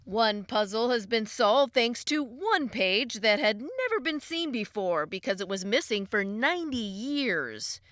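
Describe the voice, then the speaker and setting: A woman's voice: a little high, very animated, measured pace, few pauses, fairly bright tone, no room sound, clean studio sound. A woman narrating a scripted news report.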